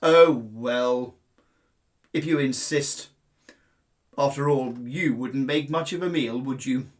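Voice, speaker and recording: Man acting a loud, pompous storybook lion king. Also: mid-range voice, takes it slowly, close to an everyday voice. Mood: sleepy, condescending. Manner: pompous, scornful, drawn out. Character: huge haughty male lion, lazy king of beasts. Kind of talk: children's fable storytelling.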